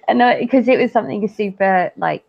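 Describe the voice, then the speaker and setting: A woman's voice: medium pitch, expressive, moderate pace, creaky. A woman co-hosting a casual podcast chat, who giggles a lot and trails off with fillers. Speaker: podcast co-host. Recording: dull lo-fi audio.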